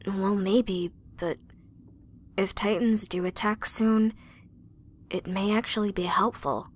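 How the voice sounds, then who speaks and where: A woman playing an earnest, brooding young space cadet with a husky, breathy voice in an anime-style drama. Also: medium pitch, steady tone, slow pace. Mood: sombre, earnest. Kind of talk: sci-fi anime parody audio drama acting.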